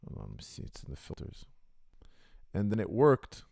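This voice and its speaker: A man's speech runs through a deep robotic voice filter, sitting low with a gravelly, fry-laden edge. He hosts a casual podcast stream, chatty and loose, and keeps calling his viewers guys. The sound is dry and close, with no room around it. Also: wildly swooping pitch, normal speed, darkish tone, no room sound. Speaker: podcast host. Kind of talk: casual livestream talk. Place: Canada.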